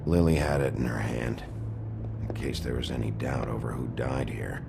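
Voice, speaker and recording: Very deep, gravelly hard-boiled detective. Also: male voice, fairly even intonation, moderate pace, heavy background noise, full character voice. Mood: brooding, analytical. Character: gruff, weary male detective investigating a murder. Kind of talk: video game voice acting. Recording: loud music bed.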